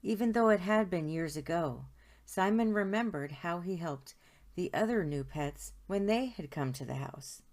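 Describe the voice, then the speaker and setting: A woman with a low-pitched voice reads a picture book aloud for children. Her delivery is expressive and deliberate, and she draws out key words for emphasis as a storyteller would. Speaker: storytime host reading aloud.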